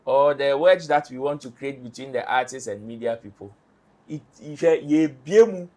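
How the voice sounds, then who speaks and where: A man's voice: mid-range voice, normal speed, dark tone. A man with a Ghanaian accent, arguing passionately as a panelist on an entertainment talk show, firing off rhetorical questions. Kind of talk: animated studio discussion.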